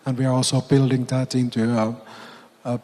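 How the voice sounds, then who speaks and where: A man with a hoarse, nearly lost voice introduces himself on a conference panel. His pitch sits in the middle and he halts often on fillers. The sound carries the long reverb of a large hall. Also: little pitch movement, dark tone. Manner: halting, many fillers. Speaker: panelist, game publisher CEO.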